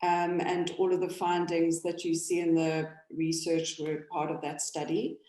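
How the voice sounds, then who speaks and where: Woman, webinar co-host, lowish mellow voice, hesitant with fillers, echoey room. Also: completely flat delivery, measured pace. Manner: casual, hesitant, many fillers. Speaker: research company founder and co-host. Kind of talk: business webinar presenting.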